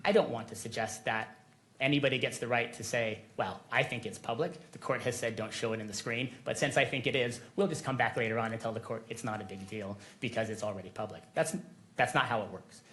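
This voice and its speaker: Measured male attorney addressing a court. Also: medium pitch, fairly bright tone, a little hiss or hum. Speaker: attorney for a media coalition. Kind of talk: formal courtroom argument.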